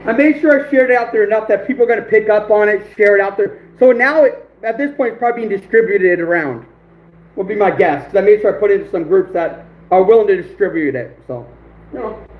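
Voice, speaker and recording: Livestream host, man, high voice, hoarse, echoey room on lo-fi audio. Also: animated, quick pace. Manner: laughing, reacting to chat. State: losing his voice. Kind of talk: casual livestream chat.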